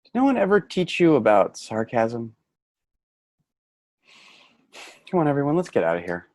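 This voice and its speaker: A man's voice: medium pitch, very animated, fast, no room sound, studio quality, close to an everyday voice. A man acting in a play reading as an earnest, fed-up male office worker, grieving and sardonic, with an emotional delivery. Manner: emotional, swinging from shouting to quiet grief. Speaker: actor in a staged reading.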